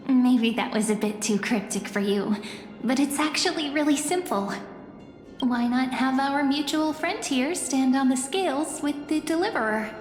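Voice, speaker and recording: An unhurried, teasing young companion, echoey. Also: female voice, high voice, darkish tone. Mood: teasing, reassuring. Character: gentle, teasing young woman companion, wistful and knowing. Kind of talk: English video game voice acting. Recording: echoey hall reverb, faint music behind.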